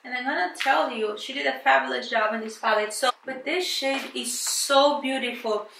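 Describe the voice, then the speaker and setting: A woman's voice: high voice, normal speed, vocal fry, fairly bright tone, roomy sound, far from the mic, a little hiss or hum, rough recording. A woman from Brazil with a thick Brazilian Portuguese accent, chatting casually and hesitantly as she unboxes and reviews beauty products, with many fillers and restarts. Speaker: beauty vlogger.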